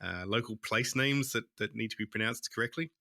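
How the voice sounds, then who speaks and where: An Australian man speaks as an interview guest in a bright, forward voice that sits a little low. The sound is dry and close, with no room around it. He talks in a hesitant way, with frequent restarts and fillers. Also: fairly quick, creaky, no room sound. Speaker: guest, tech founder. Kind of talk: conversational interview.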